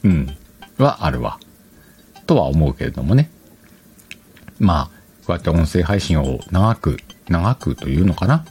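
A man with a low voice and lively intonation hosts a casual solo podcast. He rambles colloquially and corrects himself as he goes, over faint music. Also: a little slow, pauses often. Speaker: podcast host. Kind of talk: casual chatty solo show. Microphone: dedicated microphone.

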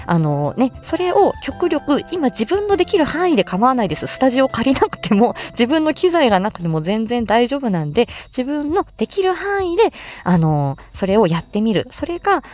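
Female amateur voice actor as livestream host: animated, swooping pitch, friendly tutorial chat, polite and encouraging, music underneath. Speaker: amateur voice actor explaining how to make voice samples. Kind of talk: casual explanatory livestream chat. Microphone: smartphone, close.

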